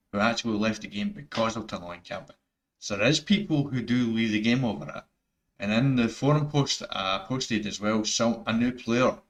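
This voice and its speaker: A man with a thick Scottish accent, giving rambling, earnest opinions over gameplay footage, full of fillers and restarts. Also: fairly low pitch. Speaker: gaming YouTuber.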